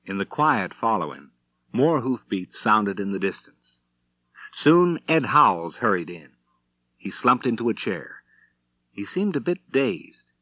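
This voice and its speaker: A man narrates a western audiobook in a bright, forceful voice, pitched a little low. His storytelling is measured and dramatic, recorded very dry with a slightly dull, lo-fi sound. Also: very animated, no echo. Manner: measured storytelling with acted dialogue.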